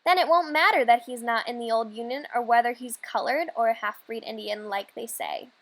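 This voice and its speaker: A woman reading aloud a children's storybook as a hopeful, dreamy young girl, earnest and measured. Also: fairly high pitch.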